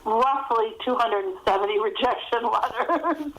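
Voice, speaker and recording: A woman's voice: fairly high pitch, measured pace, a bit bright, a little hiss or hum, rough recording. A middle-aged American woman speaking casually and anecdotally as a guest author in an interview.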